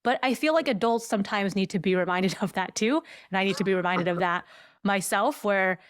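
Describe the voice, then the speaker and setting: Woman, mid-pitched voice, podcast host, informal, anecdotal, casual chat, very dry recording. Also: a little fast, clear, resonant tone, no echo. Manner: anecdotal, informal, acts out quoted remarks.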